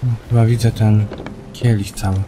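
A man's voice: fairly low pitch, little pitch movement, normal speed, noisy background. A Polish man casually chatting as he plays a co-op video game, thinking aloud in a laid-back way. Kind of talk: casual co-op gameplay chat.

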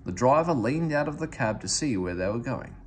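A man reading a children's story aloud in an expressive, theatrical manner. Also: mid-range voice, a little fast, a little hiss or hum. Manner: animated, theatrical with character voices. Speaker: storybook reader.

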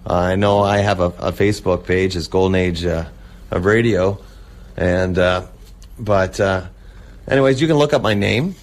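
A man speaking as a guest in a casual interview, talking in a halting, rambling way about his hobby. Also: sits low. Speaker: radio show guest, old-time radio enthusiast.

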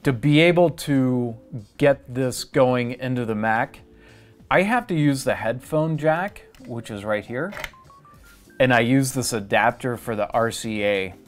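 A man's voice: medium pitch, measured pace, soft background music. Middle-aged man giving a step-by-step tutorial. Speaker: tutorial host. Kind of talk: step-by-step how-to demonstration.

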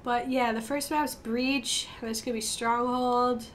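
A woman's voice: fairly high pitch, fairly even intonation, slow pace, slight background noise. A young woman streaming a team shooter match, chatting casually and calling out plays, her nose stuffed up from a cold. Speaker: gaming streamer. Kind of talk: casual gameplay commentary with team callouts.

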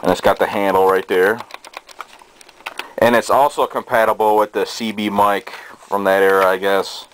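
A man giving a casual show-and-tell in a halting, hesitant way, recorded indoors on a handheld camera's microphone. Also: on the low side, poor audio. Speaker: hobbyist presenter. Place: small cluttered bedroom indoors. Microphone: handheld camera's built-in microphone. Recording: steady noise, amateur camera sound.

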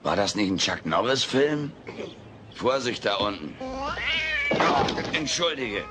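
A man's voice: fairly low pitch, big pitch swings, slow. A man voicing a sardonic, wisecracking furry alien in a sitcom audio drama. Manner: sardonic, quipping. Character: wisecracking, cheeky furry male alien with a cat appetite. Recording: noisy old cassette audio drama.

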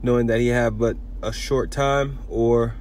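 A man giving an informal sermon video, talking in halting bursts with many repeats and restarts. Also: mid-range voice, steady tone, darkish tone, a little hiss or hum. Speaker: preacher.

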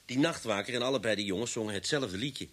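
An actor in a Dutch radio drama playing a sardonic, sceptical police inspector with a dry, reasoning manner. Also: male voice, mid-range voice, fast, fairly bright tone, close to an everyday voice.

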